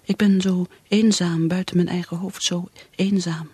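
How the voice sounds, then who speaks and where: A woman's voice: fairly low pitch, steady tone, measured pace, dark tone, slightly rough, dry recording. An actress with a Netherlands Dutch accent playing a lonely, bitter middle-aged mother, a drinker in denial, brooding and repeating herself in radio drama. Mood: lonely, anguished.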